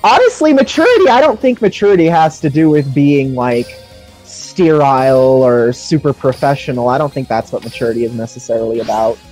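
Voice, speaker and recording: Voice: medium pitch, animated, a little fast, a little mellow, soft background music, low-quality recording. A streamer chatting casually, rambling and emphatic in giving opinions. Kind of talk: casual chat on a game livestream.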